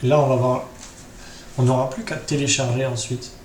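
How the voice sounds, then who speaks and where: A man's voice: medium pitch, flat delivery, normal speed, a little mellow. A man hosting a casual screen tutorial, improvising and thinking aloud with frequent tag questions. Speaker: tutorial host. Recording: roomy echo, faint background noise.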